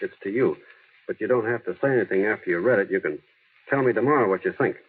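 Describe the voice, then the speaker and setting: An actor playing an earnest, shy, plain-spoken small-town man, halting and sincere, in a vintage radio drama. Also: lowish voice, slightly rough. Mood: shy, tender. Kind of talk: 1930s-40s radio drama acting. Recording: muffled, lo-fi old radio recording.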